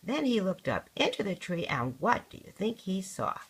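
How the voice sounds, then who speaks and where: An older woman reading a children's story aloud as a grandmother would, with deliberate, dramatic emphasis. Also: mid-range voice, sing-song, slight background noise.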